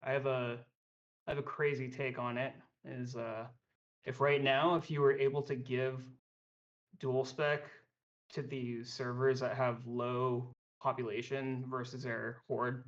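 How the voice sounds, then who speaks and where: A man's voice: mid-range voice, level tone, unhurried. A man chatting casually on a gaming podcast in a tentative, hesitant way, with muffled sound. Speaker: podcast guest or co-host. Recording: dull lo-fi microphone sound.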